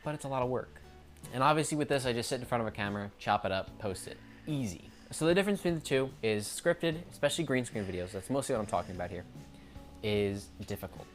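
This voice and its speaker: A man's voice: medium pitch, expressive, quick pace, soft background music. A man talking casually to camera in an unscripted monologue, rambling and correcting himself mid-sentence, with the odd stutter. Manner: stutters now and then. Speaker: YouTuber.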